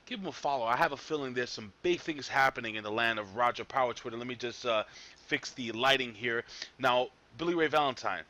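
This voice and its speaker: A man hosting a casual wrestling talk panel, animated and repeating himself as he jumps between topics. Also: medium pitch, brisk, lo-fi sound. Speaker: podcast host.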